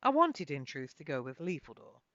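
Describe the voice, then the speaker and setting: A woman's voice: sits low, sing-song, moderate pace, a bit bright, no echo, highs cut off. A woman reading her own novel as a dramatic first-person narrator, with theatrical, uneven emphasis.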